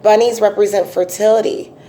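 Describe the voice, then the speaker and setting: A woman with a raspy voice giving a casual, chatty tarot reading, full of fillers and restarts. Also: medium pitch, fairly even intonation, a little fast, dark tone, slight background noise, low-quality recording. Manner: chatty, repetitive, many um fillers. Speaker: tarot reader.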